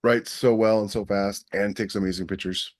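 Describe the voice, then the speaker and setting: Emphatic low-voiced man explaining on a podcast. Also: fairly even intonation, moderate pace. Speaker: podcast co-host. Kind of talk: casual podcast chat.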